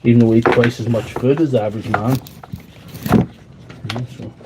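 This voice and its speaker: A man's voice: medium pitch, fairly even intonation, normal speed, heavy background noise. A man with a wheezy voice, chatting loosely to livestream viewers while he repairs tools in his workshop. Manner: rambling, chatty, talks to viewers while working. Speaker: tool repair livestream host. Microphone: mic on a stand close by.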